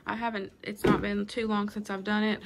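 A woman's voice: mid-range voice, fairly quick, noisy background. A woman, chatting casually through her home cooking and cleaning routine. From Southern United States. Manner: chatty, homey, relaxed explaining. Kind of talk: casual voice-over narration.

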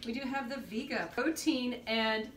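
A woman's voice: fairly high pitch, a little slow, noisy background. A woman in her thirties hosting a casual kitchen demonstration, chatty and upbeat, filmed in a home kitchen with a camera on the counter. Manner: chatty, upbeat, explaining as she goes. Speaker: host. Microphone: camera at counter distance.

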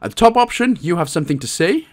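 A man's voice: high voice, sing-song, fast talker. A man with a Welsh accent giving a casual game walkthrough with cheeky, jokey asides. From Wales. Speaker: video game guide host.

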